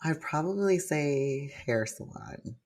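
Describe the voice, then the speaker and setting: Low-voiced upbeat woman from Hawaii. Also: expressive, slow pace, a little mellow. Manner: upbeat, laughs often, chatty. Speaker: guest, business coach. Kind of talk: casual interview chat.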